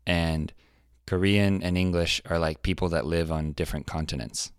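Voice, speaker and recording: A man with a very low, deep voice co-hosts a podcast, thinking out loud and often restarting his sentences. The sound is close and dry. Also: steady tone, moderate pace, clean tone, no room sound. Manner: hesitant, musing, lots of fillers and restarts. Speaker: podcast co-host. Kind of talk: casual podcast chat.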